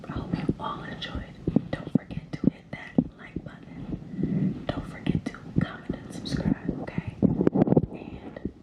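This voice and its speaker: Female ASMR host, casual whispered address to viewers, soft and repetitive, roomy echo. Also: a little slow, distant mic, dull audio.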